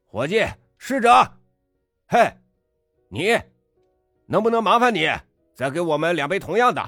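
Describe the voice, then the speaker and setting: A man reading a story aloud, voicing a brash, loud middle-aged father who bullies waiters with sarcasm. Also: sits low, expressive, normal speed, no room sound, clean studio sound, faint music behind. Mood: bellowing.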